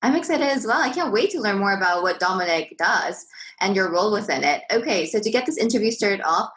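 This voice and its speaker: A woman's voice: mid-range voice, lively intonation, a little fast, a bit bright. A woman hosting a marketing podcast, asking her guest questions in an upbeat, eager way. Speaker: podcast host. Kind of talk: interview hosting.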